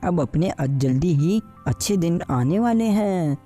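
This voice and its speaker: Poor village farmer played by a man: plain-spoken, worried husband, very mellow dark timbre, bedtime-story cartoon. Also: a little high, animated, unhurried, soft background music. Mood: grateful, hopeful. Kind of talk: Hindi animated bedtime story dialogue.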